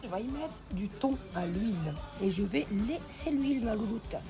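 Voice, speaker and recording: A hoarse woman chatting familiarly as she cooks, calling the viewer pet names. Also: a little high, expressive, moderate pace. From northern France. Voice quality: gravelly. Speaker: home cook. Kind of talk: casual cooking vlog chat. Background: background music.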